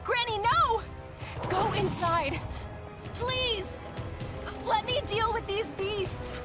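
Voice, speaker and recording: An actress playing a fierce young orphan heroine in a video game, emotional and defiant in a theatrical way. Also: female voice, extremely high pitch, drawn-out words, loud music behind the voice, full character voice. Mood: desperate, alarmed. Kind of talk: dramatic video game voice acting.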